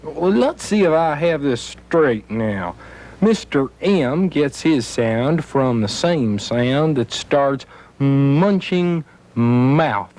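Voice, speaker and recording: A man voicing a male puppet letter on a children's educational show, teaching sounds in a deliberate, theatrical, emphatic way. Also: medium pitch, animated, a little mellow. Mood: delighted, instructive.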